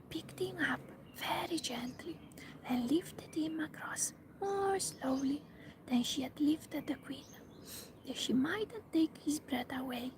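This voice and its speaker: A woman reading a storybook aloud in a soft, steady ASMR whisper. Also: high voice, a little hiss or hum. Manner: soft unvoiced whisper throughout. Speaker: narrator.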